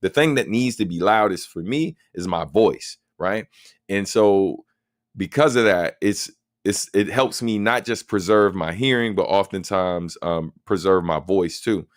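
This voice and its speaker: A man with a deep voice hosting a casual livestream Q&A, answering viewer questions in a relaxed, talkative way with plenty of fillers. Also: unhurried, no echo, clean studio sound. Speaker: host.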